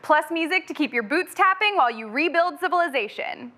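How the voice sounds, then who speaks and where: A woman's voice: high voice, animated, fairly quick, sharp tone. A woman playing a cheery, wry radio host in a post-apocalyptic republic, delivering scripted retro broadcast patter. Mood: upbeat, wry.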